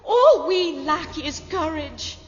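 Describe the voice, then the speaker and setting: A defiant young noblewoman in a solemn fantasy radio play. Her voice is very high and slow, formal and intense, ringing in a long reverb with a dulled top end. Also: animated, a little hiss or hum. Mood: pleading. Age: young adult. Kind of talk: solemn fantasy audio drama acting. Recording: dull audio in a long reverb.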